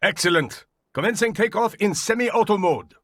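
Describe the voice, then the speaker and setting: An actor playing a brainy, wry German scientist and pilot with a German accent and husky voice, in a game cutscene. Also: male voice, sits high, animated, normal speed, a bit bright, dry recording, studio quality. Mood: pleased, wry. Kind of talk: video game cutscene acting.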